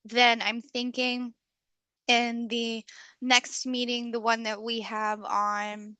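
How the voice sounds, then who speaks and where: A woman hosting an online community call, friendly and full of okays, slightly hoarse as she loses her voice. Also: on the high side, little pitch movement, slow, bright, forceful tone, dry recording. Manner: friendly facilitator, many okays. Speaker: meeting host. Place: video call.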